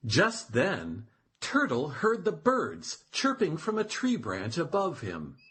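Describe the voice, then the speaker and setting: A storyteller reading a children's tale aloud as expressive, deliberate narration. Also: mid-range voice, slight background noise.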